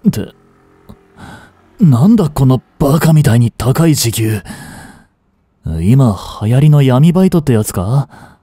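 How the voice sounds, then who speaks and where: A man acting as a cynical, aloof young street delinquent in a voice drama, with rough, casual, lazily teasing speech, close to the mic. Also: medium pitch, sing-song, measured pace, pauses often, mellow, no room sound. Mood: aloof, dismissive. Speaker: voice actor. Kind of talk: Japanese voice drama aimed at women, one-sided dialogue. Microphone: close studio mic. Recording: dry close-miked studio recording.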